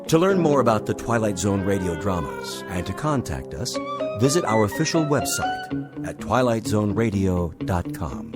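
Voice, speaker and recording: A man's voice: on the low side, big pitch swings, normal speed, over loud music. An American man reading scripted credits as a formal announcer.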